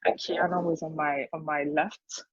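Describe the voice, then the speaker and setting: A woman's voice: sits low, moderate pace, slightly rough, no room sound, dull audio. A young woman from Nigeria with a Yoruba Nigerian accent, a podcast guest telling a story casually with lots of fillers. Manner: animated storyteller, many fillers, laughs often. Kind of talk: casual chat.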